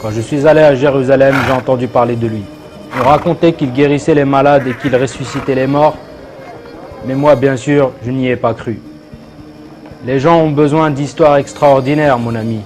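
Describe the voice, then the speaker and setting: A man's voice: medium pitch, fairly even intonation, normal speed, a little mellow, soft background music, natural voice. A man playing an arrogant, worldly rich man with a condescending, boastful manner in a religious cartoon dub. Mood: dismissive. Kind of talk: dubbed religious cartoon acting.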